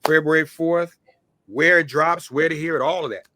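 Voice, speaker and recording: A man's voice: a little high, steady tone, moderate pace, pushed and bright, no room sound. An American man hosting a conversational interview. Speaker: show host.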